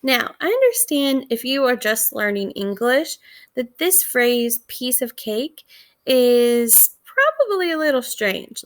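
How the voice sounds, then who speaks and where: A woman teacher giving casual lesson commentary, conversational and thinking aloud with questions for listeners. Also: on the high side.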